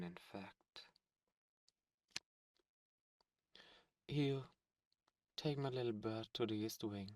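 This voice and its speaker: A man's voice: mid-range voice, darkish tone, no echo, close to an everyday voice. A man playing a haughty demon prince in a romantic fantasy ASMR roleplay, speaking formally, flirtatiously and with command. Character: haughty, seductive demon prince, courtly and possessive.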